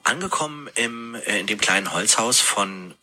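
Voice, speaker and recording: Hushed male narrator, very deep but bright-edged, husky. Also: expressive, normal speed. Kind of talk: narrated story excerpt.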